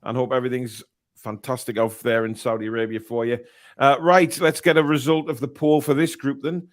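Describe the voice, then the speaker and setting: A man's voice: medium pitch, little pitch movement, brisk. A man hosting a casual live football stream, chatty and hesitant, reading out chat messages and poll results. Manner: chatty, upbeat when reading chat and polls, hesitant fillers. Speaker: live-stream show host.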